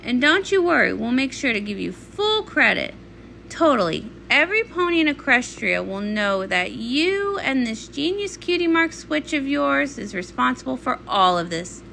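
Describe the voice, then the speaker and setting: A woman performing an animated comic read-along, acting out the characters. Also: high voice, moderate pace, gravelly, a little hiss or hum.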